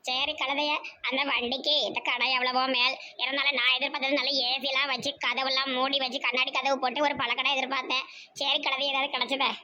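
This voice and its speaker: A woman's voice: sits high, little pitch movement, a little fast, sharp tone, lo-fi sound, slight background noise. A woman voicing a cheeky, grumbling village woman in a comic cartoon, with a southern Tamil Nadu accent. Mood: mock-grand, bossy. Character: loud, cheeky village woman turned fruit-stall owner. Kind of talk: comic cartoon dialogue in colloquial dialect.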